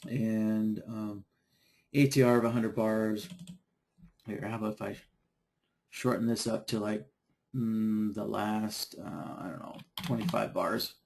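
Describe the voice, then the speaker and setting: A man teaching trading software in a live online session, losing his voice from a dry throat and clearing it often, talking in a halting, unhurried way. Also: fairly low pitch, level tone. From Idaho. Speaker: software trainer. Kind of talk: live webinar screen-share tutorial.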